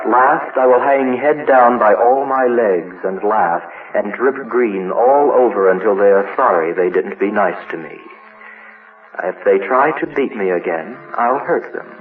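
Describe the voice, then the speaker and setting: A man's voice: on the low side, lively intonation, unhurried. A man voicing a chained, hurt monster child in a horror monologue, telling its story in simple, broken childlike sentences. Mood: bitter, vengeful. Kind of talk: first-person dramatic horror monologue. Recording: noisy, tinny narrow-band radio-like sound.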